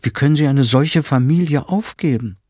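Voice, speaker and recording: A man's voice: lowish voice, moderate pace, slightly rough, no room sound, studio quality. A man doing a bewildered mother. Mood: puzzled. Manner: questioning, bewildered. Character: puzzled, concerned middle-aged mother.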